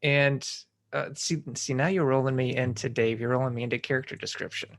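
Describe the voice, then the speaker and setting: A man's voice: medium pitch, steady tone, fairly quick, gravelly. A man hosting an interview podcast, speaking in an emphatic, agreeable, conversational way. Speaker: podcast host. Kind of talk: casual interview chat.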